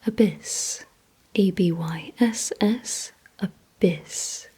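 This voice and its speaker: Woman, ASMR roleplay, breathy unvoiced whisper, dark soft tone, very slow deliberate delivery, close microphone. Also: medium pitch. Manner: slow, deliberate, repeats words with pauses. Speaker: ASMR creator leading a word-guessing game.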